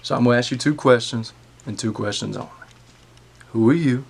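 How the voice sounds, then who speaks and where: A man's voice: mid-range voice, brisk, creaky, husky. Man acting a blunt, defiant drug dealer. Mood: defiant, impatient. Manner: blunt, streetwise, swearing. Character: defiant, foul-mouthed male drug dealer with a short fuse. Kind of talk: naturalistic screen drama acting.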